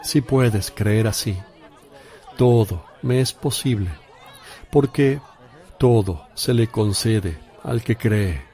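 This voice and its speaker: A man performs a majestic holy teacher in a radio play. He declaims slowly and solemnly with full authority, in a dark, mellow tone over a faint crowd murmur. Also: lowish voice. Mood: calm authority. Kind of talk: religious radio drama acting.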